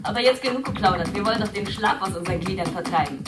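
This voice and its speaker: Actress as peppy TV workout instructor, music behind. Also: female voice, mid-range voice, expressive, racing, lightly acted. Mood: chirpy, commanding. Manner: peppy, commanding, counting in rhythm. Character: brisk, chirpy female television morning exercise instructor. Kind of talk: TV workout presenting within a radio play.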